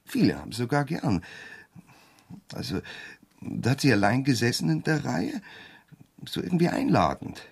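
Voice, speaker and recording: An actor playing a shifty, whining petty offender under police questioning, evasive, defensive and self-justifying, in a radio crime drama. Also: male voice, medium pitch, sing-song, normal speed, creaky, long pauses, husky, full character voice. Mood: sullen self-justifying. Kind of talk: 1970s German radio crime drama acting.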